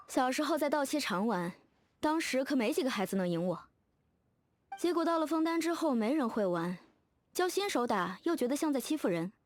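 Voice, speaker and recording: A woman voicing a confident, playfully competitive young fashion designer in a video game, direct and teasing. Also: high-pitched. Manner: direct, self-assured, teasing. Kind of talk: scripted video game character dialogue.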